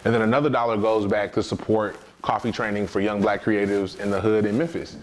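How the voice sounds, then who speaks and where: A man's voice: lowish voice, little pitch movement, brisk, a little hiss or hum. A man giving conversational interview answers as a small business owner.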